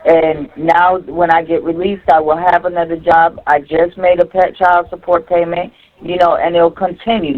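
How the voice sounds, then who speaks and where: A dull, lo-fi phone recording carries a woman's voice. She talks casually and lays things out step by step, as if giving instructions. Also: on the low side. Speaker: caller on a jail phone call. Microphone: telephone.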